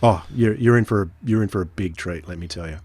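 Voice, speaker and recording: Low-voiced man in dry-sounding podcast chat. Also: fast, a little mellow, dry recording. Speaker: podcast host and reviewer. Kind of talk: casual podcast review chat.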